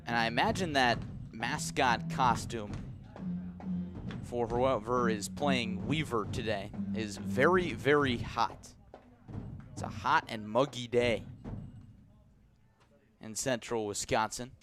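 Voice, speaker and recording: A man calling live baseball play-by-play for the radio in a measured, descriptive way. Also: medium pitch, music in the background. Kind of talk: live radio sports commentary.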